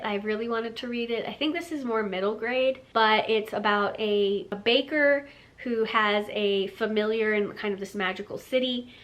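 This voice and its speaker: Female book vlogger, casual and chatty, nasal from a cold, mid-pitched. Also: a bit bright. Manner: chatty, lots of fillers and light laughs. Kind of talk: casual book haul chat.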